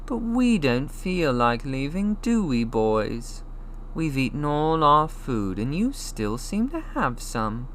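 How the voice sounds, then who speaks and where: A man's voice: a little high, very animated, slow pace, fairly bright tone, slight background noise, pitched above the performer's own voice, full character voice. A man voicing a snobbish, sneering young schoolboy wizard with a mocking drawl, reading a storybook. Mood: sneering menace.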